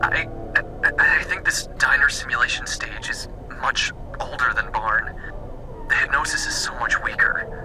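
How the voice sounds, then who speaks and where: A weary male captive in a game cutscene, played by an actor, confiding haltingly as he quietly plans escape. His voice is mid-pitched and stammers in places, with music clearly underneath. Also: close to an everyday voice, low-quality recording. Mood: haunted, determined.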